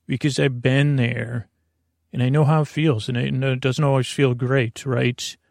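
Guy with a gravelly creaky voice rambling casually so you fall asleep, keeps trailing off, close dry mic. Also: medium pitch, level tone, a little mellow, no room sound. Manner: meandering, halting, trails off and goes off topic. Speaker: podcast host.

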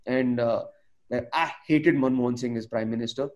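A man's voice: medium pitch, normal speed, lo-fi sound. A man with an Indian accent, a stand-up comedian talking casually and emphatically in a discussion, swearing freely and peppering his talk with "you know". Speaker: stand-up comedian, panel guest.

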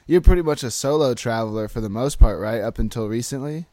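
A man's voice: medium pitch, quick pace, mellow, no echo. A man hosting a podcast, upbeat and enthusiastic as he introduces and questions his guest. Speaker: podcast host. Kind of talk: podcast interview.

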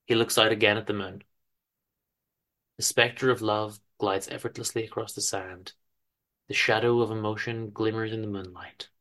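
A man's voice: lowish voice, steady tone, normal speed, bright, forceful tone. A man giving a dramatic reading of his own story, with wry, informal asides.

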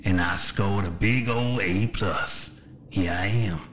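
A man's voice: deep voice, fairly bright tone, soft background music. A man voicing a chatty, menacing undead rotting monster with a folksy Southern drawl, musing at length in a tabletop game. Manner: folksy, drawn-out musing monologue.

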